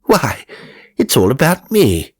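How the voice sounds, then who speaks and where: A man's voice: medium pitch, wildly swooping pitch, slow pace, a little mellow, breathy edge, voice pushed up, full character voice. A man voicing a pleased, self-important newly dead male spirit in a ghost story, prim and exclamatory. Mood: exultant, gratified. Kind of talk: ghost story character reading.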